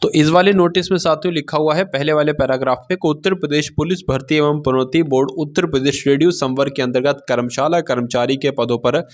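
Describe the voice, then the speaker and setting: A man's voice: a little high, fast, hardly any pauses. A man reading out and explaining official notices for students in a didactic way, paraphrasing as he goes and checking in with listeners. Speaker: exam-prep instructor and channel host.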